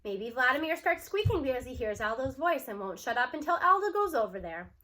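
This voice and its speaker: A woman reading a children's novel aloud in an expressive first-person storytelling style. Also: high voice, a little fast, slight background noise. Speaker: audiobook reader.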